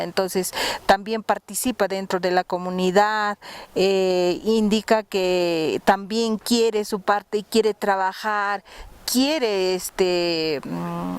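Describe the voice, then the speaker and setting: A woman giving an informal explanation in a halting way, searching for her words. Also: mid-range voice, clean tone.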